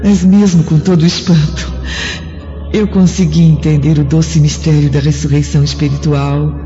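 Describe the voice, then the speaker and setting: A woman playing a gentle, wonderstruck martyr's spirit in a solemn, lyrical, declamatory radio drama. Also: low voice, steady tone, lightly acted. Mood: reverent wonder. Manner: measured, lyrical, declamatory storytelling. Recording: muffled old radio drama, long reverb, music.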